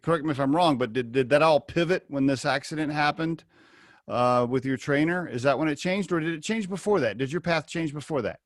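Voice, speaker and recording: A man's voice: a little high, a little fast, no room sound, studio quality. A man hosting a podcast interview over a video call, chatty and asking rambling questions. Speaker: podcast host. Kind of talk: casual interview.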